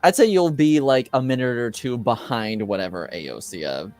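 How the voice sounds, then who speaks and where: A man speaking as game master, improvising descriptions for a tabletop game in a hesitant, halting way. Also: medium pitch, animated, a little mellow, no room sound, soft background music.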